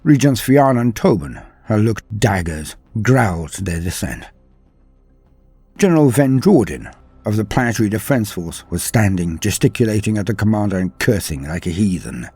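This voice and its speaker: A man narrating a dramatic science fiction story in a measured, theatrical way. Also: on the low side, very animated, mellow, breathy edge, no room sound, faint music behind.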